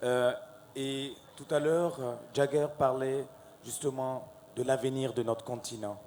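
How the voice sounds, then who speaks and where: Male host, slow deliberate pacing, mid pitch, warms up for announcements. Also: a little hiss or hum. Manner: unhurried, drawn-out questions, lively announcements. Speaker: talk-show host. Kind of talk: hosting a televised talk panel. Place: television studio set.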